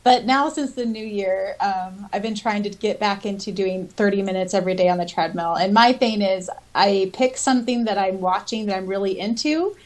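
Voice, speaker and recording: A woman's voice: medium pitch, moderate pace, few pauses. A woman co-hosting a podcast, talking informally with giggles and reading listener comments aloud. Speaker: podcast co-host.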